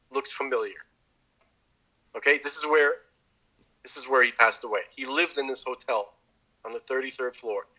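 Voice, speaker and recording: A man's voice: medium pitch, pushed and bright, rough recording. A man co-hosting a podcast, telling a long story in casual chat, with many restarts. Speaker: podcast co-host.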